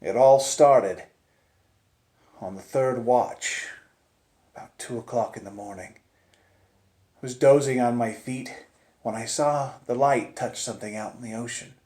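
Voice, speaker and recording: A man telling a suspense story in the first person, theatrical and deliberate, with dramatic pauses and swings between hushed and urgent delivery. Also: mid-range voice. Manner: theatrical, swinging from hushed near-whispers to loud urgent peaks. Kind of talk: dramatic live oral storytelling of a suspense tale. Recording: roomy, echoing space.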